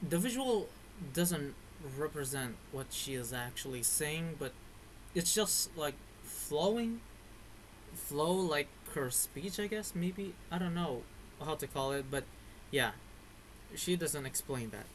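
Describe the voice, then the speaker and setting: A man giving casual game commentary in a halting way, searching for words. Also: a little high, expressive, slight background noise, low-quality recording. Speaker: gamer reacting to game story.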